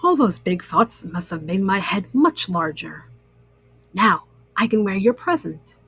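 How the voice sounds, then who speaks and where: A woman's voice: mid-range voice, very animated, unhurried, a bit bright, breathy edge, dry recording, voice pushed down, full character voice. A woman voicing an earnest, anxious male toad in a children's story, with a plaintive way of talking. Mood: delighted.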